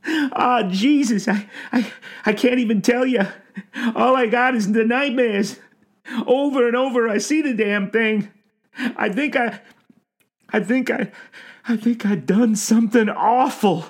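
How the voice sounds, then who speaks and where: A man's voice: extremely high pitch, a little fast, hardly any pauses, a little mellow, voice pushed up. A man voicing a frantic, sobbing old derelict in an audiobook story, stammering and blubbering in rustic, folksy speech. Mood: tearful confusion.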